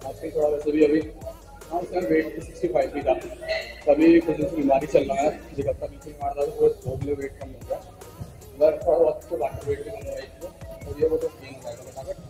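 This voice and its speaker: A man's voice: on the high side, level tone, fairly quick, over music, low-quality recording. A young man chatting casually and slangily in a gym vlog, rambling loosely with joking banter. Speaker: gym vlogger. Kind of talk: casual vlog chat, teasing banter with friends.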